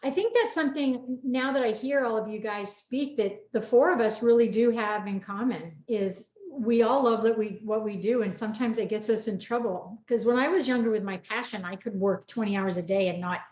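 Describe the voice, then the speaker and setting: A woman's voice: a little high, normal speed, hardly any pauses, darkish tone. A woman hosting a casual group chat, encouraging and affirming as she moves between guests. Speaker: host moderating a group chat. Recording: echoey room, muffled dull sound.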